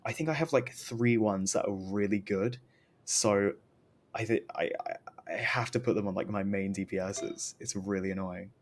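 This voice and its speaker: Man, fairly low voice, game streamer, casual rambling chat, self-interrupting, faint rumbling background noise. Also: moderate pace.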